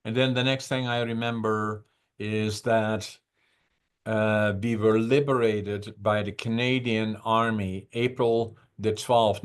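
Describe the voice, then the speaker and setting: A man in his eighties from the Netherlands, a podcast guest who talks over a video call and recalls his childhood in slow, reflective stories with many pauses. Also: on the low side, clear, resonant tone. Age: 80s. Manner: unhurried, reflective, with frequent "uh" pauses. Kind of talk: conversational storytelling.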